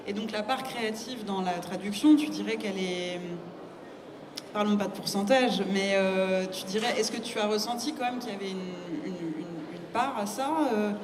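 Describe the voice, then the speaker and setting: A woman's voice: medium pitch, moderate pace. A woman hosting a conversational literary interview, searching for her wording as she frames questions. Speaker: host, interviewer. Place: public book fair talk. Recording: echoey hall, loud ambient noise.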